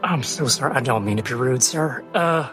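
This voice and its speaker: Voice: medium pitch, moderate pace, fairly bright tone, faint music behind, voice pushed down, natural voice. A performer voicing a worried, apologetic uncle in a tabletop game, stammering politely and hesitantly. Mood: flustered. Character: worried, flustered, apologetic uncle travelling with young companions.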